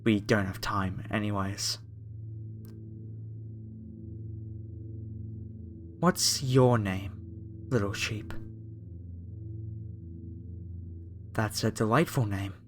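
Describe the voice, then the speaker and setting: A man's voice: lowish voice, unhurried, natural voice. A man playing a polite, apologetic masked cultist, a former music director, in a horror ASMR roleplay addressed to the listener. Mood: genteel, wistful.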